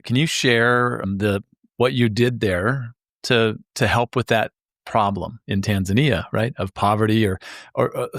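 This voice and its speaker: A man's voice: lowish voice, dry recording, clean studio sound. A man hosting an interview podcast, upbeat and enthusiastic in a casual chat, often restarting his words. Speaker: podcast host.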